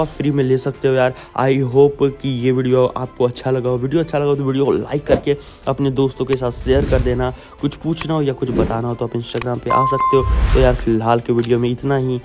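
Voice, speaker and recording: Young Indian man, very even pitch. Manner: informal, addresses viewers as friends, blunt. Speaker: gaming YouTuber. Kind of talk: casual video game update commentary. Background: background music.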